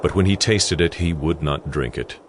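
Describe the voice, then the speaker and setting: A man's voice: very deep voice, normal speed, noisy background. A man narrating scripture from a script in a dramatized audio production.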